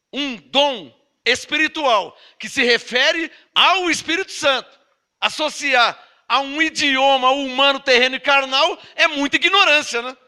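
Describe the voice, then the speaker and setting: A man's voice: extremely high pitch, pushed and bright. A Brazilian man with a Brazilian Portuguese accent preaching a sermon, emphatic and scolding, throwing rhetorical questions at his listeners. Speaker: pastor.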